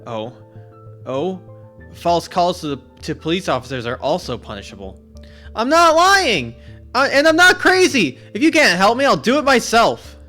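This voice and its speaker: A man voicing a panicked young male student who stumbles over his words while shouting that he saw a murder. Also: mid-range voice, expressive, moderate pace, faint music behind, lightly acted. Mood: desperate.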